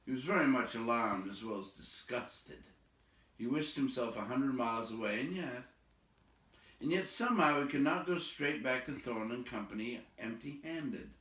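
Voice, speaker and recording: A man reading a storybook aloud as an expressive narrator. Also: medium pitch, moderate pace, echoey room, far from the mic. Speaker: storybook reader.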